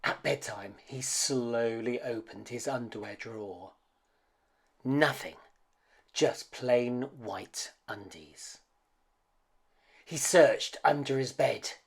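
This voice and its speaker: A man narrates a children's storybook at an unhurried pace. His voice is husky and a little creaky, and it rises and falls theatrically as he tells the tale. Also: medium pitch. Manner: dramatic, expressive, with hushed suspenseful dips. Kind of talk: animated picture book read-aloud.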